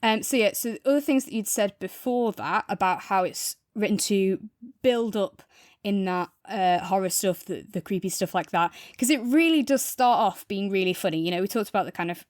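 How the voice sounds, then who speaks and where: A woman's voice: medium pitch, normal speed, no room sound. Enthusiastic woman chatting, close dry sound. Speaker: podcast co-host reviewing audio dramas. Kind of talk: casual review chat.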